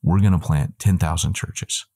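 Deep-voiced middle-aged man on a video call. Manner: animated, with quick asides and self-corrections. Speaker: guest, church planting trainer. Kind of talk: long conversational answer. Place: indoor room at home. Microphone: wired earbuds on a video call.

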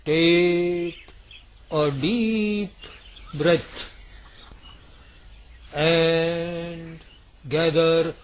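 Male meditation guide, higher-pitched voice, extremely slow delivery in brief phrases, faint background noise with birdsong. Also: fairly even intonation. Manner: deliberate short phrases with long pauses. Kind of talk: slow guided yoga nidra meditation narration.

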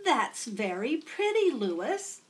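Storybook role: kindly old schoolmistress played by a woman, slow, sing-song delivery, patient with little ones. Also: a little high, big pitch swings. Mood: encouraging. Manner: sing-song, patient, addressing small children.

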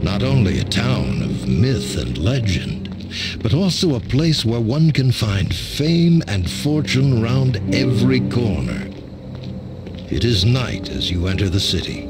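A man's voice: mid-range voice, very animated, slow pace, loud music behind the voice. An actor playing a solemn, dramatic male storyteller, narrating a fantasy game in a theatrical, portentous manner. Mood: grave, dramatic. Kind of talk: scripted narration.

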